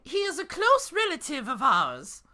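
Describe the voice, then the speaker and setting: Storybook she-wolf mother, played by a man: very high, earnest, singsong delivery. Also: huge pitch swings, measured pace, pushed and bright, exaggerated voice. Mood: earnest, approving.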